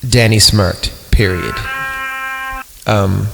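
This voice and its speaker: A fairly low-voiced man reads a creepy story for laughs, breaking off into dry, sarcastic commentary. Music plays clearly under his voice throughout. He drops in mocking remarks between the lines of the story. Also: steady tone, very slow, low-quality recording. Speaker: podcast host. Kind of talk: comedic reading of a horror story with commentary.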